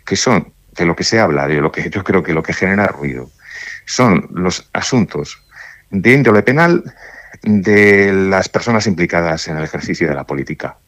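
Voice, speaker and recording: Deep-voiced man, halting and thoughtful. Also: fairly bright tone, no room sound. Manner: thoughtful, halting, many restarts and fillers. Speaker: judge interviewed on radio. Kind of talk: radio interview answers.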